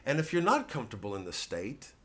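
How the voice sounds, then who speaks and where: Satire role: man as the smug voice of the state, slow, deliberate, declamatory. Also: mid-range voice, wildly swooping pitch. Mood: smug, menacing. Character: smug state personified, demanding funds for art.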